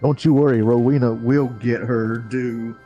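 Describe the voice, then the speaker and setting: A man's voice: medium pitch, fairly even intonation, a little slow, dark tone, dull audio. A man making casual banter as a friend on a gaming livestream. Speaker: friend co-playing on stream. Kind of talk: casual chat. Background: music.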